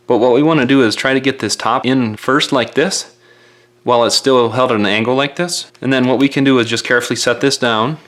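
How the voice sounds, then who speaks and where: A man's voice: mid-range voice, fairly bright tone. A man giving casual, step-by-step hands-on repair instructions in a plain-spoken, careful, folksy way. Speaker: repair tutorial presenter.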